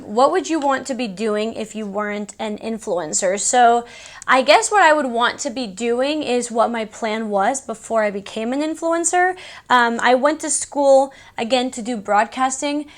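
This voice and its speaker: High-voiced young woman, native Spanish speaker, chatting candidly. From born in Mexico City, raised in Houston, USA. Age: young adult. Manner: candid, informal, conversational asides. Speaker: beauty YouTuber. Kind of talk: casual chatty Q&A vlog.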